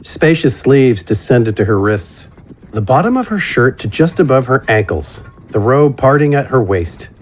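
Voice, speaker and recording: A man narrates a fantasy audio story in a dramatic, scripted style. His pitch moves expressively from phrase to phrase. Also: very animated, moderate pace, a little hiss or hum.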